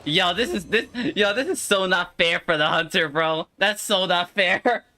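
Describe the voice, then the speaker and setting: A man doing casual live gameplay commentary, streaming in an excitable, slangy way with swearing and exclamations. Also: high-pitched, a little slow. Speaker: game streamer.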